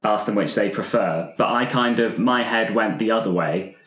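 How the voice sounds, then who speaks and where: A man with a fairly low voice talks through role-play character ideas in a roomy space. He speaks casually and hesitantly, working things out as he goes. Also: normal speed, fairly bright tone. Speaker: tabletop role-play player. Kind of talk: casual group chat about game characters. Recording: roomy, echoey group recording.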